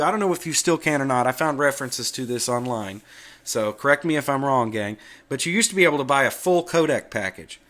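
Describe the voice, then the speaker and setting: Male tutorial host, medium pitch, folksy and plain-spoken, casual unscripted explaining. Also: fairly bright tone. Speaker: host of a Linux tutorial video. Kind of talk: casual screencast talk, explaining off the cuff.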